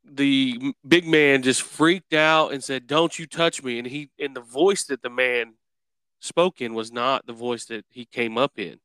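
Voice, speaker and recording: A man's voice: medium pitch, measured pace, bright, forceful tone, clear, resonant tone, no echo. A man hosting a casual interview, rambling and circling his way toward a question. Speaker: podcast host interviewer.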